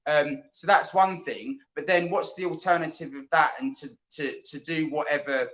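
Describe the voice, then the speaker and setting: A man's voice: a little high, steady tone, moderate pace, fairly bright tone, low-quality recording. A man from London, a guest on a live chat, talking in an opinionated, joking, anecdotal way.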